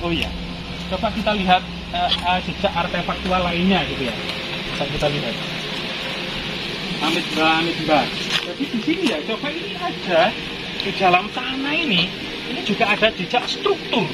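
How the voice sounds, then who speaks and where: A man's voice: sits high, lively intonation, normal speed, long pauses, very noisy. A man giving unscripted explanations of an old site as a guide, often repeating his phrases. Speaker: host and guide explaining ancient sites. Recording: loud music behind the voice. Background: loud music.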